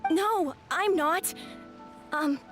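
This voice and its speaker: A woman's voice: extremely high pitch, very slow, fairly bright tone, soft background music, exaggerated voice. A woman voicing an earnest, worried little boy in an anime game. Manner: childlike, earnest.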